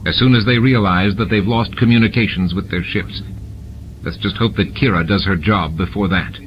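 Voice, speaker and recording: A man playing a weary, steady, authoritative male station commander in a science fiction audiobook. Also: lowish voice, normal speed, lo-fi sound, slight background noise, lightly acted. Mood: resigned. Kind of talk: audiobook character dialogue. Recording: music bed behind the reading.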